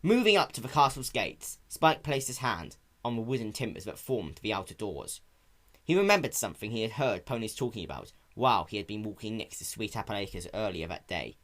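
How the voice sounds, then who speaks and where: A man's voice: fairly low pitch, big pitch swings, fairly quick, fairly bright tone. A man narrating a piece of fan fiction as a dramatic reading.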